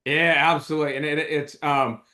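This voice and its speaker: An eager man hosts a small-business podcast, his voice sitting a little above the usual male pitch. He talks with upbeat enthusiasm, often tripping over repeated words and restarting his sentences. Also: little pitch movement, fairly quick, pushed and bright. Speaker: podcast host. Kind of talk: casual podcast hosting.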